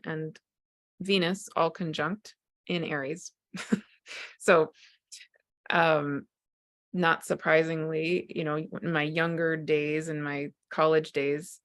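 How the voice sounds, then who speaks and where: Low-voiced woman host. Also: fairly bright tone. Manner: open, sharing personal stories with light laughs. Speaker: interview host. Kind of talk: casual personal conversation.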